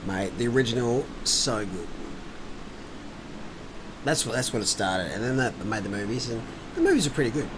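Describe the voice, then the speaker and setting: A man's voice: medium pitch, sing-song, normal speed, long pauses. Brief: Australian male sim racing commentator, rambling and jokey, noisy hum behind. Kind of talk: casual race commentary chat.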